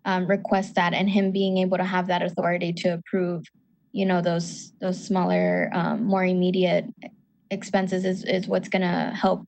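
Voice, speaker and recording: A woman's voice: on the low side, completely flat delivery, normal speed, vocal fry, a little mellow. A woman board member explaining a proposal in a rambling way with many fillers. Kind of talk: casual meeting talk.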